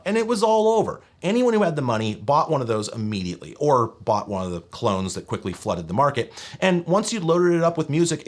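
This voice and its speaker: Male video essayist, mid-range voice, expressive melody, wry scripted delivery with strong emphasis and opinions. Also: medium pitch. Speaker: video essay host and narrator. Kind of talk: scripted tech history narration.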